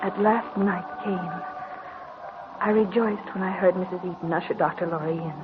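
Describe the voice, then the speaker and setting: An actress playing an anxious, devoted young married daughter in an old-time radio drama, earnest and theatrically emotional. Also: female voice, fairly low pitch, steady tone, normal speed, natural voice. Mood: sorrowful narration. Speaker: narrator and lead character. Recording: lo-fi noisy old radio recording.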